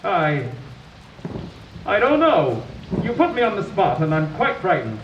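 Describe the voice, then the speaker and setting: A nervous, bookish professor in a 1930s radio comedy, apologetic and ornately polite. He speaks at an unhurried pace with wide, fluttering intonation, over faint background noise. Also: high-pitched, huge pitch swings, dull audio, heavily acted. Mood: frightened, pleading. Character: timid, bookish, flustered 1930s poetry professor. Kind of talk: 1930s-style radio comedy drama acting.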